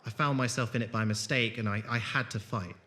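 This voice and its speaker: Bright-voiced actor as a gentle, awkward wanderer. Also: male voice, mid-range voice, fairly even intonation, a little fast, slightly rough. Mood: haunted. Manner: hesitant, earnest. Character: gentle, awkward, war-scarred male wanderer with strange powers. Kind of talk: audio drama acting.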